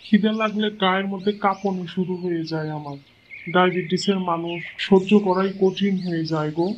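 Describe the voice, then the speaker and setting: A man's voice: sits high, fairly even intonation, a little fast, muffled recording, slight background noise, natural voice. A man voicing a kindly, resigned old village father-in-law in a cartoon story, with a coaxing, homely way of talking. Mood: hungry, nagging. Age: older. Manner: coaxing, homely, repeats himself.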